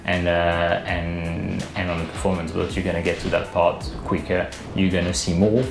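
Deep, gravelly man on a roomy video call. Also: level tone, normal speed, over music. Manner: hesitant, many fillers and restarts. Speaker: boat designer. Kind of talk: interview answers, explanatory. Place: indoor room, video call. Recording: roomy webcam audio with faint hiss.

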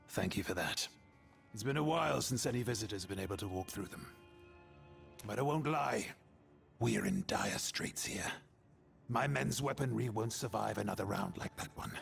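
An actor playing a dutiful, tired guard captain in a fantasy game, frank and authoritative. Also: male voice, medium pitch, creaky. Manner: frank, world-weary, authoritative. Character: weary, dutiful male guard captain, plain-spoken soldier.

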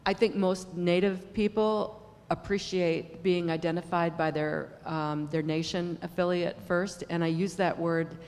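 Middle-aged woman panelist, measured, explanatory, table mic, long hall reverb. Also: on the low side, fairly even intonation, a little mellow. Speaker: panelist professor. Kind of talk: panel discussion answer. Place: large conference hall. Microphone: table mic on stand.

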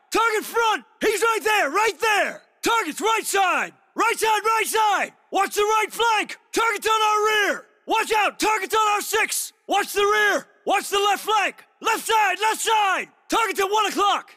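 Gruff soldier, high forceful urgent voice. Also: male voice, lively intonation, unhurried, hardly any pauses, exaggerated voice. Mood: shouting, alarmed. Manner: clipped, urgent, barked radio orders. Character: gruff, commanding male special forces soldier, middle-aged. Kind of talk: military shooter game voice acting.

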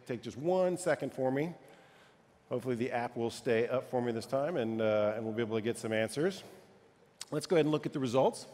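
A middle-aged man hosting a conference keynote on a large stage, upbeat and chatty, with loose fillers. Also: mid-range voice. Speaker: keynote host. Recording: reverberant conference hall.

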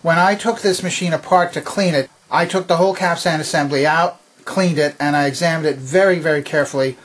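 A man presenting a hands-on demonstration in a methodical, explanatory way, with a slightly husky voice. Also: on the high side, brisk, a bit bright. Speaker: presenter demonstrating vintage tape recorder. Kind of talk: instructional demonstration narration. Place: indoor home workbench.

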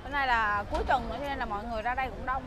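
A young woman talks casually in a high voice. Her delivery is quick, and a clear crowd of diners is heard around her on the open-air terrace. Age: young adult. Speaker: vlog guest companion. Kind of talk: casual chat. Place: outdoor seaside restaurant terrace. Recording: busy outdoor restaurant crowd behind.

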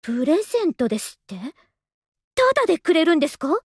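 A young anime game soldier woman with a high voice. She is languid and polite, with an understated affection, and her pitch swings widely. The sound is dry and close. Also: very animated, brisk, no echo, exaggerated voice. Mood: polite, lazy. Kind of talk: Japanese anime game voice acting.

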